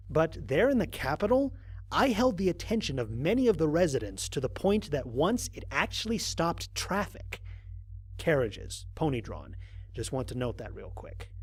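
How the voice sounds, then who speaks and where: A man's voice: a little high, no echo. A man playing a weary human aerospace pilot recording a mission log for an audio drama, in a dry, grumbling, conversational way. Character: weary, wry male human aerospace pilot on an alien world.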